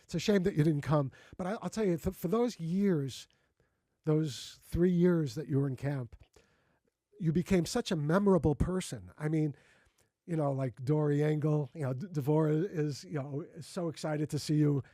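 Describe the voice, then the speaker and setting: A man in his sixties hosts a relaxed interview, his voice sitting a touch high but with a dark, mellow color. He talks effusively about shared memories and often stops and restarts mid-sentence. The sound is close and dry. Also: moderate pace, no room sound. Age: 60s. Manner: effusive and reminiscing, with frequent restarts. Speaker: host. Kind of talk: casual interview conversation.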